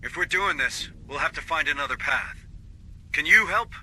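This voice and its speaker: An actor playing a tough, wry marine squad sergeant in a video game, talking tersely. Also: male voice, a little high, animated, normal speed, sharp tone, husky, exaggerated voice. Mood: wry, focused. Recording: noisy game action behind.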